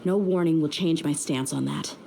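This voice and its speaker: A woman's voice: low-pitched, normal speed, creaky, noisy background. An actress playing a tough, candid young female mercenary who speaks in a direct, earnest, polite way, over game music. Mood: defiant.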